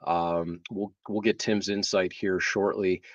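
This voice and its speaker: A man hosting a live talk show, speaking in a hesitant way with drawn-out pauses. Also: sits low, little pitch movement. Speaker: show host. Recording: dull, roomy, distant microphone.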